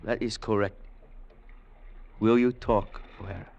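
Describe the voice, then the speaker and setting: An actor playing a proud, ruthless Chinese warlord general in an old radio drama, speaking in terse, formal, commanding phrases. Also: male voice, on the low side, fairly even intonation, unhurried, vocal fry, darkish tone, lo-fi sound. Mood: cold, menacing. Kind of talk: 1940s-50s radio drama acting.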